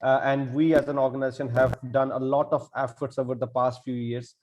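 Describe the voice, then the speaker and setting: A man giving unscripted remarks as a panelist, halting and repeating words as he goes. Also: mid-range voice, flat delivery, darkish tone, slight background noise, rough recording. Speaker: panelist from the organization.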